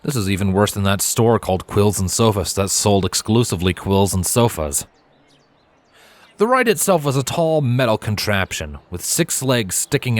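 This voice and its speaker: Casting: male story narrator, lower-pitched voice, expressive scripted read of fan fiction. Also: no echo.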